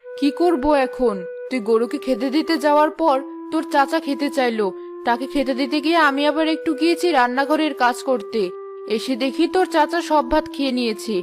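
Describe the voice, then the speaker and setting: A woman's voice: high voice, brisk, over music, close to an everyday voice. A woman voicing a spiteful, scolding village aunt in a cartoon, with a nagging, scornful manner. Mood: dismissive. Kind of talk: Bengali cartoon voice acting.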